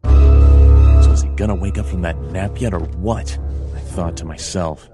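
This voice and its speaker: A man playing a world-weary fox detective, deadpan and brooding, narrating a noir game in terse lines. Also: sits low, a little slow. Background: game music.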